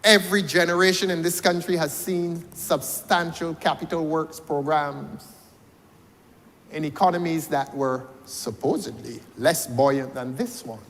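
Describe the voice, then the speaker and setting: A Barbadian man with a high voice delivers a parliamentary speech. He is rhetorical and repeats his phrases for emphasis. His voice rings with the long reverb of a large chamber. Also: unhurried, a bit bright, a little hiss or hum. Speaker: member of parliament.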